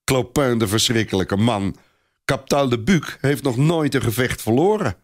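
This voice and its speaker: A man voicing a gentle herald, mild and teasing yet thoughtful, in a storybook tale. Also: medium pitch, expressive, moderate pace, pitched above the performer's own voice, close to an everyday voice. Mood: amused.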